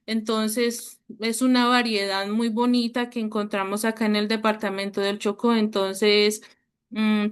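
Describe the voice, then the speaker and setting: Woman on a video call, slow and flat, lots of pauses and restarts. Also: mid-range voice, smooth. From Colombia. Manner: hesitant, many fillers and restarts. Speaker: workshop participant. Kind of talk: online workshop discussion.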